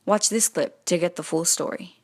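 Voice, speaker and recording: A woman's voice: fairly low pitch, normal speed. Woman presenting in scripted narration.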